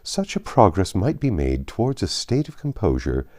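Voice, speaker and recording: A man reading a classic novel aloud as an audiobook narrator, with measured, expressive phrasing and drawn-out emphasis. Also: low-pitched.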